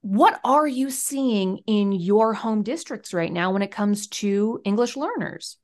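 A woman hosting an education podcast, reading a clear, measured introduction and then interviewing guests. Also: mid-range voice, animated, a little mellow. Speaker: podcast host.

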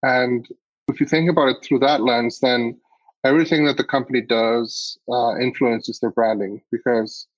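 A man's voice: mid-range voice, steady tone, moderate pace, no echo, lo-fi sound. A man from Iceland answering interview questions as a guest, picking his words hesitantly and thoughtfully. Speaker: podcast guest, agency CEO.

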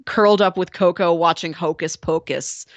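A woman's voice: fairly low pitch, steady tone, moderate pace, dry recording. A woman co-hosting a podcast in casual, conversational film discussion. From United States, Missouri. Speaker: podcast co-host.

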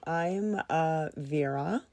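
A woman's voice: low voice, very slow, a little mellow. A young woman whose first language is French, chatting casually as a podcast guest, hesitant and full of fillers. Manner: hesitant, full of "like" and "um", laughs often. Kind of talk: casual conversation.

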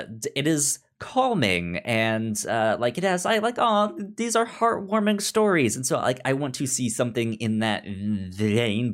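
A man co-hosting a podcast in casual chat, animated, with halting, repetitive phrasing. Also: lowish voice. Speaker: podcast co-host.

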